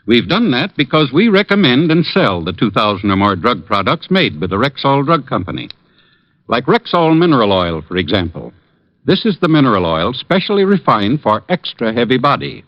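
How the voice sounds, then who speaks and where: An actor playing a courteous family druggist giving a measured, reassuring sales pitch in a 1950s radio commercial. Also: male voice, sing-song. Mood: earnest, reassuring. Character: courteous, earnest male family druggist endorsing products. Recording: dull vintage radio recording.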